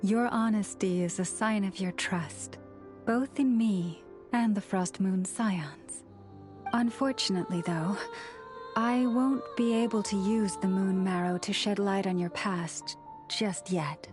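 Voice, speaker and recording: Woman acting a young moon priestess, game cutscene, unhurried, solemn, gentle, formal, reverent, faint music behind. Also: medium pitch, lightly acted. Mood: earnest, formal.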